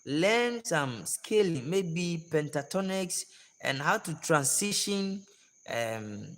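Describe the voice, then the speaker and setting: A hoarse man giving a relaxed music lesson to singers, repeating his advice in an unhurried, gentle way. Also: fairly high pitch. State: hoarse, voice worn out. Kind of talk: casual spoken tutorial. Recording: long reverb with music playing behind.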